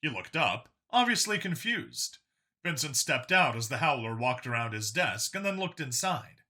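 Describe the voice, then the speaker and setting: A man's voice: mid-range voice, big pitch swings, moderate pace, pushed and bright. A man reading a science fiction story as a dramatic scripted narration. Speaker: audiobook narrator.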